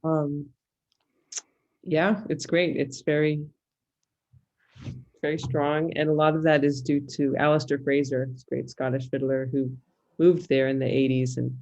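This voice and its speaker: A woman's voice: low voice, flat delivery, unhurried, pauses often, a little mellow, highs cut off. A woman with a Californian American accent, talking casually as an interview guest and thinking aloud with some hesitation. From California, United States. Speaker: interview guest, musicologist and fiddler.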